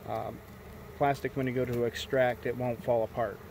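A man beekeeper explaining a hive inspection outdoors in a halting way with lots of fillers, recorded on a handheld camera. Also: medium pitch, level tone, gravelly, heavy background noise. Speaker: beekeeper, co-host. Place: outdoors at a backyard beehive. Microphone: handheld camera mic. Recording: outdoor take with faint bee buzz.

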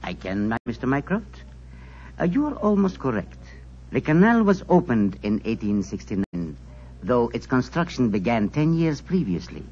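An actor playing a formal, learned passenger with measured, precise speech in a 1940s radio drama on a dull old recording. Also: male voice, medium pitch, big pitch swings, a little mellow, husky. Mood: suave. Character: suave, learned male passenger, courteous.

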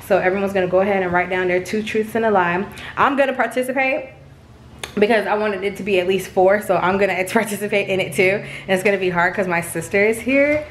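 A woman's voice: medium pitch, fast, a little hiss or hum. A woman in her thirties hosting a family vlog, chatting casually and laughing often, filmed in her home kitchen. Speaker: vlog host. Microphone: handheld camera at arm's length.

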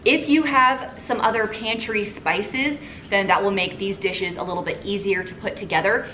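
A woman's voice: medium pitch, a bit bright, a little hiss or hum. A woman in her thirties talking casually to the camera as a budget cooking vlogger, earnest and practical as she explains prices step by step. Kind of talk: casual vlog talk to camera. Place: living room, sitting on a couch.

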